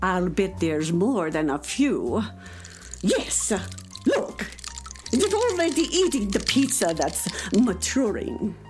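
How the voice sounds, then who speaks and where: A woman's voice: medium pitch, very animated, slow pace, a little mellow, soft background music. A woman playing a patient but firm cat mother in a children's radio play, nagging with exasperation. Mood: firm, lecturing.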